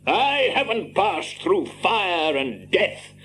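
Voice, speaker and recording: A commanding old wizard in an audio drama. His fairly high voice declaims slowly and gravely, with stern dignity. It rings through a reverberant hall. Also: big pitch swings, sharp tone, heavily acted. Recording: echoing hall with faint hiss and hum.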